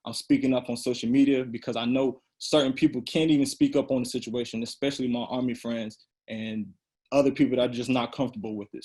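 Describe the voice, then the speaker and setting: A man's voice: mid-range voice, a little mellow. A young man, a student athlete on a panel, speaking earnestly and with emphasis. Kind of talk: roundtable discussion.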